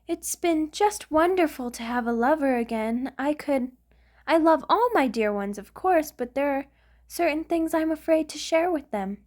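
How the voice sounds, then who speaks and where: A woman's voice: sits high, lively intonation, normal speed, darkish tone. A woman voicing a centuries-old vampire lover in an intimate ASMR roleplay, doting and anxious, with a hesitant, sighing delivery. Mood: fond, reminiscing. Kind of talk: ASMR romantic roleplay monologue.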